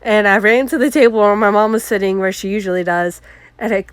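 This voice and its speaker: A congested woman telling casual, animated stories about her art mishaps as a vlogger. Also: mid-range voice, fairly quick, clear, resonant tone, no room sound, studio quality. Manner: chatty, animated, acts out her own exclamations. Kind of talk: casual story time.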